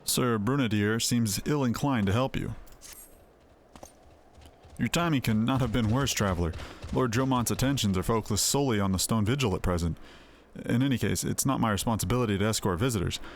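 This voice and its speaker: A man's voice: on the low side, a little fast, slight background noise, lightly acted. A man voicing a world-weary, sardonic knight in a fantasy video game, dismissive and archaic in his phrasing. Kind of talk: video game character dialogue, read aloud. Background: music.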